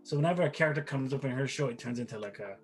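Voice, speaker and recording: A man's voice: medium pitch, rapid-fire, faint music behind. Rambling middle-aged man from Barbados. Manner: rambling, frequent restarts and repeated words. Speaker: guest, father. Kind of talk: casual interview chat.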